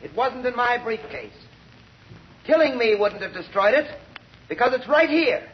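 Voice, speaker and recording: A man's voice: very high voice, very animated, moderate pace. A man playing a resolute, righteous young prosecutor in an old radio drama, speaking emphatically and declaiming. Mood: triumphant, accusing. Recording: muffled vintage radio recording.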